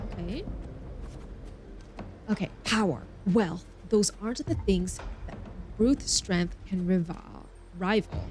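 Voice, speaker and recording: A woman streaming a story game with a medium-pitched voice. She reads the text aloud, sometimes tripping over words, and drops in expressive reactions of her own. Music plays behind her. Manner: stumbles over words while reading, expressive asides.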